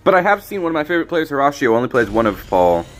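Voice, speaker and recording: A man casually commenting on his card game as he plays, thinking aloud with frequent restarts, his voice a little raspy today. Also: fairly high pitch, very animated, racing, faint music behind. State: slightly raspy voice. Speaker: streamer.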